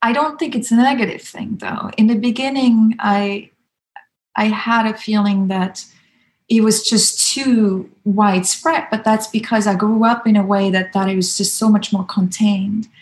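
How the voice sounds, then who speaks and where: A woman's voice: mid-range voice, steady tone, a little slow, darkish tone. A woman in her thirties from Switzerland with a Swiss German accent, explaining ideas in conversation and sometimes searching for words.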